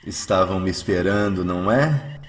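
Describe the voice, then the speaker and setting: Man acting a gentle, saintly Brazilian healer. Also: low voice, normal speed, natural voice. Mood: kindly, calm. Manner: earnest, benevolent, soothing. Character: gentle, saintly male spiritist healer of the 1910s. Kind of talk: radio drama acting.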